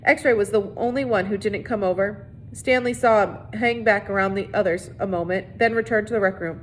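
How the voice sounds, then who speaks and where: A woman reading a children's novel aloud as narrator. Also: moderate pace. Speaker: reader. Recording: noisy recording with low rumble.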